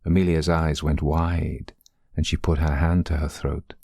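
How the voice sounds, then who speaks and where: Deep, gravelly male ghost-story narrator. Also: little pitch movement, mellow, no echo, clean studio sound. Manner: deliberate, literary storytelling.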